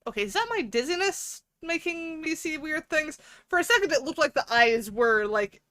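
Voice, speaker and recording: High-voiced, giggly woman streamer. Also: normal speed, no room sound. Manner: giggly, playful, animated rambling. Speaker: livestream host. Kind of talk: casual livestream chat with viewers.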